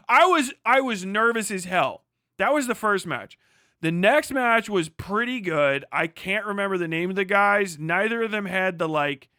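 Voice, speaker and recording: A man's voice: sits high, sharp tone, no room sound, clean studio sound. A man hosting a livestream with casual, opinionated commentary, rambling and emphatic, swearing freely. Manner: rambling, heavily profane, emphatic. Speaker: livestream host.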